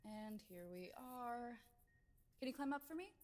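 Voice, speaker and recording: A brisk clinic nurse, reassuring but businesslike, radio play, reverby sound. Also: female voice, on the high side, expressive, darkish tone. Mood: polite, routine. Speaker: nurse or technician. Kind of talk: radio drama acting. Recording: long reverb.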